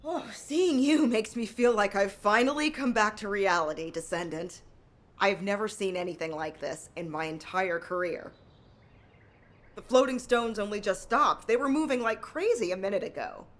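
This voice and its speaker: An actress playing an earnest, curious excavation chief in a video game, with a conversational delivery. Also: female voice, medium pitch, normal speed, natural voice. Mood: relieved, determined. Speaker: excavation team leader.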